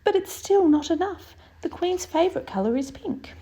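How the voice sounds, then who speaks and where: A woman's voice: very high voice, lively intonation, moderate pace, warm tone, pitched above the performer's own voice, rough recording. A woman voicing a friendly, flustered old male painter in a children's storybook, eager and a little fretful. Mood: amazed, fretful.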